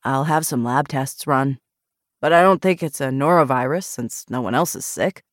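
A woman voicing a calm, unworried male doctor in a novel, in a matter-of-fact manner. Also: a bass voice, moderate pace, dry recording, clean studio sound, voice pushed down.

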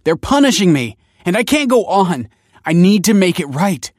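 A male narrator acts out a young man who is desperate and quick to temper. He pleads urgently, his strained voice pushed up in pitch and very lively, in a dry storybook recording. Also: no room sound. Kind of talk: audiobook character voice.

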